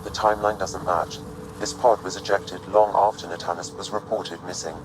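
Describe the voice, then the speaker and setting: Man as low, unemotional AI assistant. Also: steady tone, background noise, lightly acted. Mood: neutral. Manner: measured, formal, unemotional. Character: calm, precise male artificial intelligence assistant. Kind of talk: video game cutscene acting.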